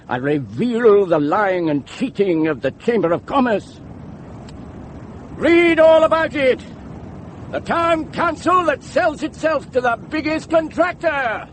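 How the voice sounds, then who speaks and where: An actor playing a fiery, defiant pamphleteer, declaiming with scorn and suspicion in a theatrical drama style. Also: male voice, very high-pitched, a little hiss or hum, heavily acted. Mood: furious, proclaiming. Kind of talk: theatrical dramatic monologue and dialogue.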